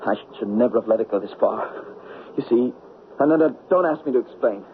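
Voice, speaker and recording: An actor playing a tormented, terminally ill young man in love, halting and pleading, in an old-time radio drama. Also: mid-range voice, close to an everyday voice. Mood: resigned, urgent. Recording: muffled, noisy vintage radio broadcast.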